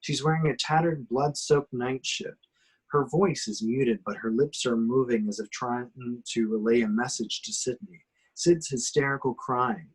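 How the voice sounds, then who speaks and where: Man, medium pitch, reads stage action for a horror script table read, dramatic but amateur delivery. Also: normal speed, slightly rough, rough recording. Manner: dramatic descriptive reading with occasional stumbles. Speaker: action description reader in a script table reading.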